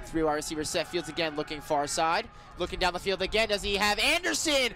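An American man working as a radio play-by-play announcer, calling live football in an excitable, emphatic manner. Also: high-pitched, brisk. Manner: excitable, builds to emphatic calls on big plays.

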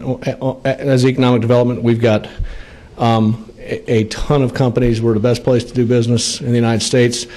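A man's voice: mid-range voice, mellow. A middle-aged man presenting a bill to a legislative committee from a podium microphone, with many fillers and restarts. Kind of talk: committee testimony.

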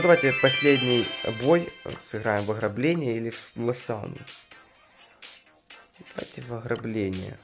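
A slightly hoarse man giving casual gameplay commentary, chatting and thinking aloud with frequent restarts and throat clearing. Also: lowish voice. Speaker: gaming YouTuber.

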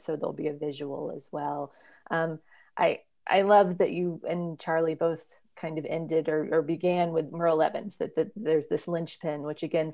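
Low-voiced woman moderating an online panel. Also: mellow. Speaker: panel moderator, museum curator. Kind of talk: conversational presenting on a video call. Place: small room at home, indoors. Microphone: wired earbuds on a video call.

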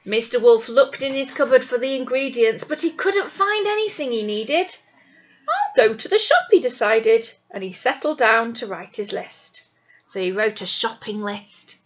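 A middle-aged woman, a primary teacher reading a picture book to young children in an animated, explanatory way with questions to them. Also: fairly high pitch, moderate pace, muffled recording. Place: small indoor classroom. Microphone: webcam or device at arm's length.

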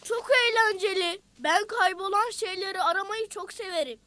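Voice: very high voice, moderate pace, dry recording. A performer voicing Mert, an eager, playful young boy in a children's cartoon, sounding self-assured. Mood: eager, confident. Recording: loud music behind.

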